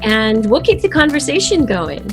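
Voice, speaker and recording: A middle-aged or older woman with a fairly high voice and a lot of vocal fry. She chats informally and with spirit as an interview guest, with faint music in the recording. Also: very animated, moderate pace. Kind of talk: casual interview chat.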